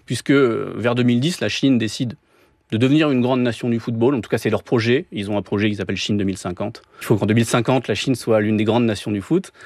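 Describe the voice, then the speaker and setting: A man's voice: mid-range voice, darkish tone, no echo. A man in his thirties with a French accent from France, explaining his thoughts reflectively as a novelist being interviewed. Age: 30s. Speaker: guest, novelist. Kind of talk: interview conversation.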